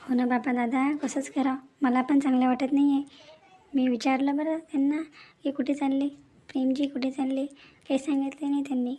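A woman acting a young village woman in a cartoon family drama. Her voice is high, and she talks in an earnest, chatty, practical way. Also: level tone, moderate pace, warm tone, close to an everyday voice. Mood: worried, complaining.

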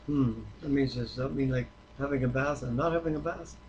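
A man reading the Bible aloud and discussing it informally, with a halting delivery that stumbles over words. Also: medium pitch, darkish tone, dull audio, a little hiss or hum. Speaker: Bible reader.

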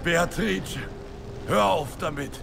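A man's voice: sits high, slow, vocal fry, heavy background noise, heavily acted. A man playing an intense, solemn crusader warrior and determined lover in a dubbed video game. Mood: pleading resolve. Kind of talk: dramatic video game dubbing. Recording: loud music over voice.

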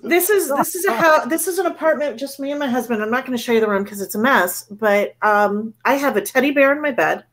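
A woman's voice: fairly high pitch, brisk, few pauses, a bit bright. A woman in her forties from a Cuban family, co-hosting a casual book club chat over a video call, chatty and self-deprecating. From Cuban-American family. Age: 40s. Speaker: book club podcast co-host.

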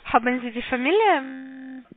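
Slow-talking woman on the phone. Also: high-pitched, lively intonation, no room sound. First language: not German. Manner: hesitant, repetitive, polite questioning. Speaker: call center agent. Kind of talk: phone sales conversation. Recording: muffled, narrow telephone line.

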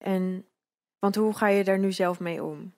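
A woman's voice: medium pitch, steady tone, unhurried, darkish tone. A young woman with a Dutch accent hosting a casual interview, supportive and asking follow-up questions into a handheld mic. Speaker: interview host. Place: bright living-room studio with sofa. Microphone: handheld microphone.

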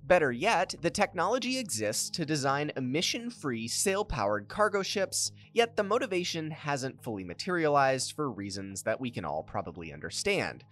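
Narrator, scripted explainer read, animated pitch, mid voice, faint music bed. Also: normal speed, few pauses. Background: faint background music.

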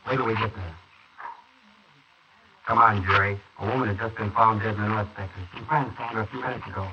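A man's voice: on the low side, fairly even intonation, brisk, natural voice. Brief: impatient detective sergeant, clipped, played by a man, dull hissy 1940s wire recording. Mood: brisk. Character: brisk, curt police detective sergeant. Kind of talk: 1940s radio acting.

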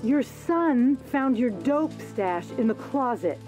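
An actress playing an angry, fed-up wife in a radio drama. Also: female voice, on the high side, expressive, slow pace, music in the background. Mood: angry, accusing. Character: angry fed-up wife confronting her husband.

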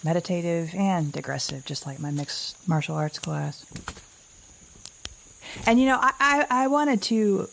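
Worn out woman survivor in an audio drama, kinda low voice w lots of fry, anxious, wry, keeps stumbling and rambling. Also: expressive, a bit bright, slight background noise, full character voice, poor audio. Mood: nervous, determined. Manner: halting, rambling, self-correcting, wry. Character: anxious, wry, weary woman survivor keeping a tape diary. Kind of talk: naturalistic audio drama monologue.

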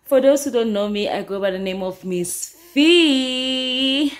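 A woman's voice: high voice, lively intonation, a little slow. A young woman chatting loosely to camera as a vlogger, rambling a little as she talks. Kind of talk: casual chat to camera. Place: small room indoors. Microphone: phone camera held close.

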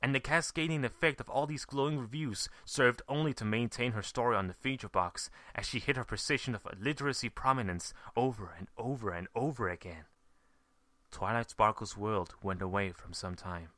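Bright, forceful man narrating a story. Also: medium pitch, moderate pace, no room sound, studio quality. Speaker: fan fiction reader, narrator.

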